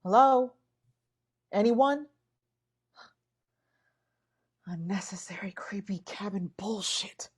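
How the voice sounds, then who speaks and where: An actress playing an awkward, earnest young seller turned investigator in a naturalistic radio drama. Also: female voice, mid-range voice, husky. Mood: uneasy, annoyed. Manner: halting, flustered, earnest, wry. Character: awkward, smitten young privacy-gear seller and amateur investigator, Lavender.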